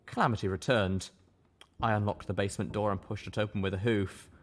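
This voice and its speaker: A man's voice: on the low side, steady tone, a little fast. A man reading a story aloud as first-person narration.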